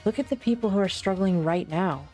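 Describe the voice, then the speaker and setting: A woman voicing a principled, resolute physician in an amateur fan dub, speaking in an earnest, deliberate way. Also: mid-range voice, mellow, faint music behind, natural voice. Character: principled, observant female physician, calm and resolute.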